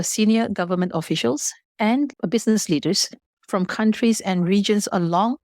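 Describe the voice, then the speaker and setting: A woman's voice: mid-range voice, a little mellow, no room sound, studio quality. A woman from Malaysia giving formal, prepared, explanatory answers as a business guest on a podcast.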